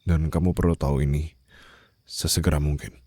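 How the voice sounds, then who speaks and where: A man acting a stern but caring mafia boss in an ASMR roleplay, speaking formally and haltingly into a close microphone. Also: a bass voice, steady tone, mellow. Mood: serious, measured. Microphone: close studio-style microphone, dry.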